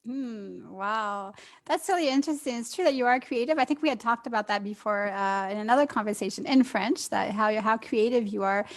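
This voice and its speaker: A woman's voice: a little high, a little fast. A woman co-hosting an interview, encouraging her guest and using some fillers. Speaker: interview co-host.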